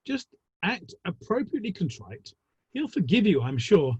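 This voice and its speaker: Man playing wry, husky Victorian clubman, lively. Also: high voice, normal speed, no echo, dull audio, exaggerated voice. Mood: flippant, soothing. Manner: arch, flippant, old-fashioned wording. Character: breezy, wry Victorian gentleman, jovial literary clubman. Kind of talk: dramatic play reading.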